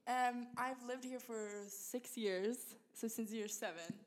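A woman's voice: a little high, slow pace. A teenage girl from Sweden co-hosting a school podcast in a crowded classroom, rambling casually, giggling and correcting herself. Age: teens. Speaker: podcast co-host. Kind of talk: casual chat.

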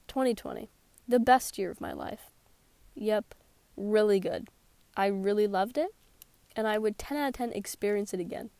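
A woman's voice: medium pitch, darkish tone, no room sound. A teenage girl with a sore throat, chatting casually and sarcastically on her own podcast. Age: teens. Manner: sarcastic, rambling, laughs often. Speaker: podcast host. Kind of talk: casual solo podcast chat. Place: small closet.

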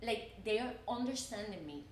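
Cuban-accented young woman, animated. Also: on the high side, normal speed, echoey room, slight background noise. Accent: Cuban Spanish. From Cuba. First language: Spanish. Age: 20s. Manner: animated, repeats words when starting a thought. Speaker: journalism student guest. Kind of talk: casual chat.

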